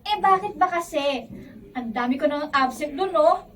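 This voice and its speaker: High-voiced pleading young Filipina student. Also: female voice, lively intonation, normal speed. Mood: protesting, exasperated. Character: apologetic young college student daughter and girlfriend. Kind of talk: Filipino radio drama acting. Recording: distant mic, faint room noise.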